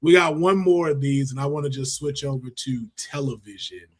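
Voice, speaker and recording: An American man hosting a casual podcast, talking in an animated, slangy and profane way. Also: mid-range voice, moderate pace. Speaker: podcast host. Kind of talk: casual podcast chat about movies and games.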